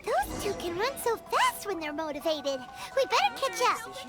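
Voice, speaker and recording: A woman voicing a tiny, chirpy, childish fairy companion in an English video game, squeaky and exclamatory. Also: wildly swooping pitch, moderate pace, a bit bright, exaggerated voice. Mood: bright, eager. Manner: squeaky, exclamatory, refers to self in third person. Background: music.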